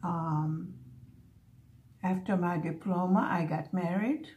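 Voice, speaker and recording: A woman in her seventies, a native Hungarian speaker who grew up in the USA, chatting casually and reminiscing with pauses as she works on a craft. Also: on the low side, steady tone, a little mellow. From born in Hungary, grew up in the USA. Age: 70s. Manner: unhurried, pausing storytelling. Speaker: craft vlogger.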